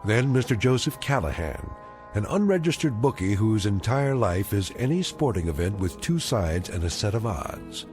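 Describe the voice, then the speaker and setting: An actor narrating an eerie radio drama in a measured, wry, knowing manner. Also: male voice, lowish voice, big pitch swings, a little mellow, faint music behind. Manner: measured, sardonic.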